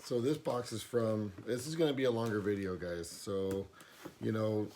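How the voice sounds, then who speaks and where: Casting: hoarse man with a lowish voice, easygoing rambler, camera-mic sound. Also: moderate pace, a little mellow, a little hiss or hum. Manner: rambling, many fillers and restarts. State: hoarse, losing his voice. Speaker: pipe smoking vlogger. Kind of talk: casual box opening vlog. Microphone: camera mic, no external mic.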